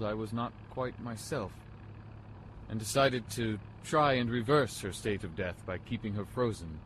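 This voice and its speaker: A man playing a brooding, courtly gentleman in a gothic audio drama, earnest and formal in his delivery. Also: on the low side, a little hiss or hum, lightly acted, poor audio.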